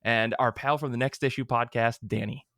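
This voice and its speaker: A man's voice: mid-range voice, fairly even intonation, moderate pace, sharp tone, no room sound, studio quality. A man hosting a podcast with casual, joking banter, often cutting himself off mid-sentence. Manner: jokey, rambling, self-interrupting. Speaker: podcast host. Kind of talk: casual chat.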